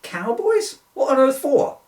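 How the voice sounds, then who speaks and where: A man's voice: very high voice, sing-song, a little slow, darkish tone, voice pushed up, exaggerated voice. Man acting an exasperated policeman in an echoey room. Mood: incredulous. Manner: officious politeness giving way to exasperation. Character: exasperated, sarcastic male police constable taking a report. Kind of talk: comic two-hander read from a book. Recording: distant, echoey room recording.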